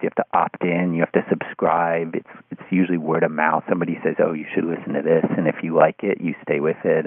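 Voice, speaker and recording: Male interview guest, deep register, telephone-band audio, reflective and halting, conversational delivery with frequent restarts. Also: dry recording. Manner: thoughtful, halting, often restarts phrases. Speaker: interview guest, writer of an article on podcasts. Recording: phone-like narrow-band sound.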